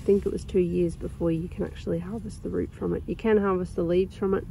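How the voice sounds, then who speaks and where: A young woman with a croaky sore throat, chatting casually and rambling with lots of ums while filming her garden outdoors on a phone. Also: on the low side, fairly even intonation, quick pace, slight background noise, poor audio. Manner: rambling, chatty, with many ums and soft laughs. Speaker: gardening vlogger. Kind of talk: casual chat.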